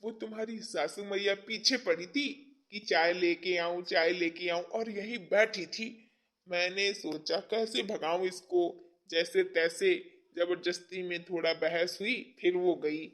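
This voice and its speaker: A man's voice: extremely high pitch, normal speed, pushed and bright. A man playing a middle-aged father-in-law in a domestic skit, blunt and colloquial. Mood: soft, coaxing. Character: irritable father-in-law, gruff with wife, sweet on daughter-in-law.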